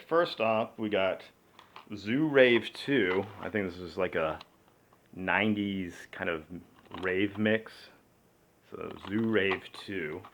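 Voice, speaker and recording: A man casually chatting through a cassette unboxing, relaxed and rambling, with frequent fillers and upbeat asides. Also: on the low side, dull audio, a little hiss or hum. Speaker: music collector vlogger.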